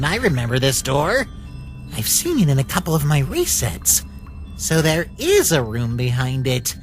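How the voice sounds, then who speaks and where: An actor voicing a scheming, bitter little talking flower in a dramatic comic dub, with a taunting, drawn-out delivery. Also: medium pitch, fairly bright tone, big-room sound.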